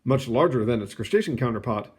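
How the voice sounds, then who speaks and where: A man reading a children's nonfiction book aloud, phrasing it clearly and adding short casual asides. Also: mid-range voice, expressive, fast talker, darkish tone. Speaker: read-aloud host.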